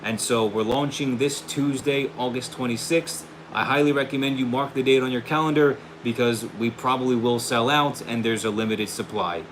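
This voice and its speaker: A man hosting a casual livestream and pitching his own hair product, upbeat and friendly, saying he is losing his voice. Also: moderate pace, hardly any pauses. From United States. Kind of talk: casual livestream chat, reading viewer questions. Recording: steady air conditioning hum behind.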